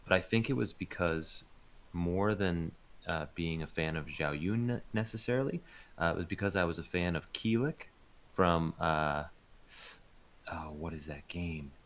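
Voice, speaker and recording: Super deep guy voice, laid back casual interview chat, kinda halting. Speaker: interview guest, voice actor.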